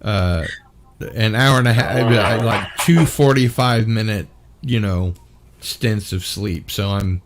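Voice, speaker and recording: Tired male livestream host with a low voice, slow and halting, full of fillers. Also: background noise. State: tired from little sleep. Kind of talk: casual online panel chat.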